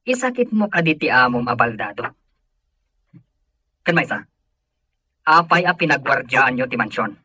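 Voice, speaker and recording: A man with a Filipino accent playing a scheming, hot-tempered, money-hungry schemer in a radio drama, with a husky voice. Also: medium pitch, sing-song, a little slow, pauses often, bright, forceful tone, dry recording, full character voice. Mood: irritated, mocking. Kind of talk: Ilocano radio drama acting.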